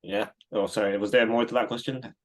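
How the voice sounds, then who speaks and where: A man in his thirties from Singapore, answering interview questions in a relaxed, rambling way with many fillers and restarts. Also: on the low side, brisk. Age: 30s. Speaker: guest.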